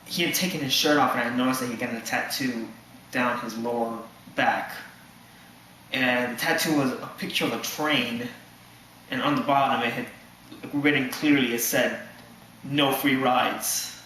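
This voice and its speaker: Deadpan nineteen-year-old man, echoey room. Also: medium pitch, moderate pace. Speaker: student interviewee. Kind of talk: mock documentary testimony. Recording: echoey room with faint noise.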